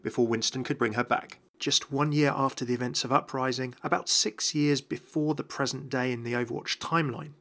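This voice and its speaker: A man narrates a scripted lore explainer. His voice is bright and forward, at a middling pitch, with the measured delivery of a video presenter.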